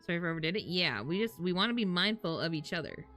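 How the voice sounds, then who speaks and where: A woman streamer talks to her chat in an informal, slangy way. Her voice is slightly low yet bright, swinging widely in pitch as she reacts. Faint music sits behind her. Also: lively intonation, rapid-fire. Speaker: live streamer. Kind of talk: casual live-stream chat and game reaction.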